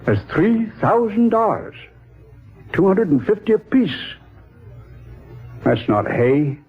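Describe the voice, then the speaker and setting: Exasperated sputtering boss, vintage radio drama. Folksy bluster, slightly high husky voice, faint music. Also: male voice, very animated, takes it slowly, heavily acted. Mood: incredulous, exasperated. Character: blustery, incredulous male boss at a publishing business. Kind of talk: 1940s radio acting. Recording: muffled band-limited 1940s radio recording.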